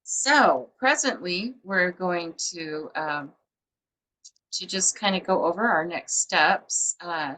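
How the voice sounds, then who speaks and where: A woman's voice: fairly low pitch, takes it slowly, sharp tone, low-quality recording. A woman publisher hosting a book show over a video call, chatty and rambling with many fillers. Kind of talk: casual interview chat.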